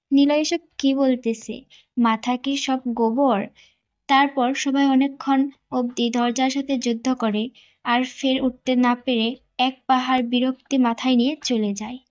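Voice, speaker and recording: A woman narrates a scripted romance in a dramatic storytelling style. Her voice is high, and the recording is a little dull and muffled. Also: darkish tone.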